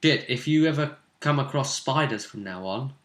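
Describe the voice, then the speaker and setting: A man's voice: mid-range voice, animated, normal speed. A man acting as game master, recounting a tabletop role-play session and repeating phrases for emphasis. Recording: roomy, echoey indoor recording.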